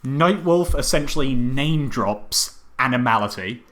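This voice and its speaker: A man's voice: medium pitch, lively intonation, unhurried, fairly bright tone. A man co-hosting a podcast, recapping a film in casual, sweary, sardonic banter and acting out mock dialogue. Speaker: podcast co-host.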